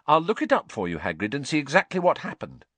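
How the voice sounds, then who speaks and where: A man's voice: fairly high pitch, huge pitch swings, fast, fairly bright tone, no room sound, pitched above the performer's own voice, heavily acted. A man voicing an earnest, bookish teenage girl. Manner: earnest, thoughtful.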